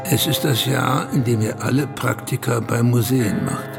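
A woman's voice: extremely low pitch, moderate pace, gravelly. A woman playing a second narrating voice in a radio drama, teasing and ironic. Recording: music underneath.

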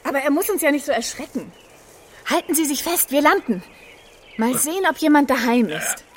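A brisk, plucky heroine of a children's radio drama. Her voice is high and cheerful, with a wry, direct delivery over a faintly noisy background. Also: lively intonation. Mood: brisk, amused. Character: plucky, chatty female fairy-tale helper, brisk and wry. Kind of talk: children's radio play acting.

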